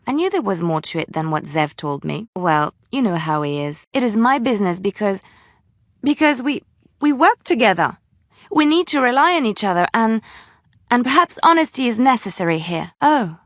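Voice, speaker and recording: A woman's voice: mid-range voice, big pitch swings, normal speed, no echo, studio quality. A woman playing an earnest, tender-hearted young adventurer in a fantasy video game, speaking hesitantly and sincerely. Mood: flustered, hesitant. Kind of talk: dramatic video game dialogue.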